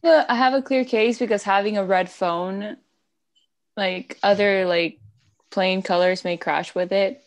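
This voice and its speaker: A woman talks in an easy, unhurried way with her co-host, pausing on fillers as she thinks. Her voice sits at a middle pitch. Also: fairly even intonation. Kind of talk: casual fan chat.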